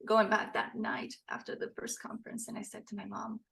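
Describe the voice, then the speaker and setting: A woman's voice: medium pitch, flat delivery, a little fast, creaky, fairly bright tone. Woman from Costa Rica, earnest storyteller. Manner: earnest storytelling, laughs often. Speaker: podcast guest, author. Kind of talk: casual interview chat.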